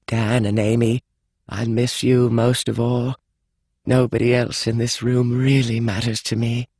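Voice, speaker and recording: A man reading the part of an elderly grandmother addressing her family in a will video, solemn and measured. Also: mid-range voice, flat delivery, darkish tone, slightly rough, dry recording. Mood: tender. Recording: dry studio audiobook.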